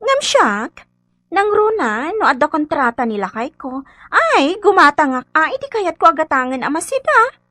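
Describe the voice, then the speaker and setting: Haughty contractor's wife, radio comedy; high voice, animated swings, close dry sound. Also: female voice, normal speed, no room sound, full character voice. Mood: boastful. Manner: haughty, bragging. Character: boastful, showy wife of a building contractor. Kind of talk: comedy radio drama acting.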